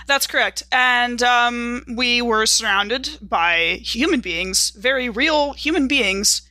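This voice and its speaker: A woman's voice: fairly high pitch, pushed and bright, no room sound. A woman playing a wry, flustered detainee in an improvised tabletop game, speaking hesitantly but precisely with dry sarcasm.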